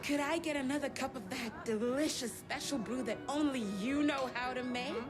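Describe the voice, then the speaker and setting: A woman acts a folksy diner customer in a comic video game, high-pitched and gushing. She sounds adoring and awestruck, with music behind her. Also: moderate pace, natural voice. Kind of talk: exaggerated comic game dialogue.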